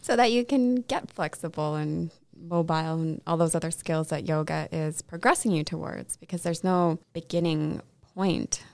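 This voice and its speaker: Woman, podcast host, playful teasing chat, lowish voice, dry close recording. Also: creaky, a little mellow, no echo. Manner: playful, teasing, quick to laugh. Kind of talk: casual chat.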